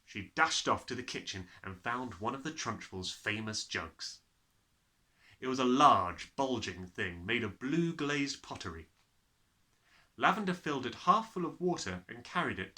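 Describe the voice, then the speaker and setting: A man reading a children's story aloud with expressive, dramatic narration. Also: medium pitch. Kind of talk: animated scripted narration.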